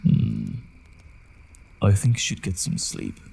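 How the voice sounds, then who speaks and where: Male actor for battle-weary fantasy rogue: gravelly, very lively pitch swings, wry gallant banter, noisy ambience behind. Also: slow pace, exaggerated voice. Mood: teasing, caring. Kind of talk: fantasy audio drama acting.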